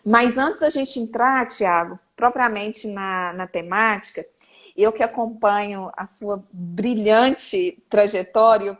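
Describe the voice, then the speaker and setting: A woman's voice: mid-range voice, takes it slowly. Chatty woman hosting a live stream. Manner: warm, chatty, laughs often. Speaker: host of a legal talk live stream. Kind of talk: casual live-stream chat.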